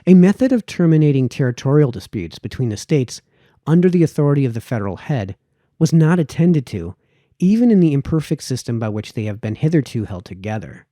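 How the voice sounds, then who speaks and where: A man narrates a formal text in the manner of an amateur audiobook reader. His voice is mellow and mid-pitched, with very animated intonation and measured phrasing that drops at the ends of sentences. The sound is dry and close. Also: no room sound. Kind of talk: read-aloud of a formal political essay, audiobook narration.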